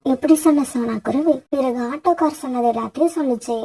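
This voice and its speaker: A woman with a husky voice narrating a scripted children's story. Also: sits high, quick pace, mellow.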